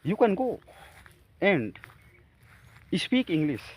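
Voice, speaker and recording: Indian-accented man, Hindi native, halting speech with fillers, fairly high voice, very animated pitch, faint birds outside. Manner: halting, with frequent fillers and restarts. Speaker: English-practice vlogger. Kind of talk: casual talk to camera.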